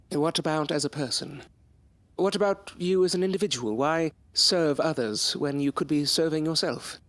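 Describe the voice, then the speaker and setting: An actor playing a headstrong, bookish young gentleman of a past century in an audio drama, speaking formally with old-fashioned diction. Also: a little high, a little slow, no echo. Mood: coolly polite. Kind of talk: period audio drama acting.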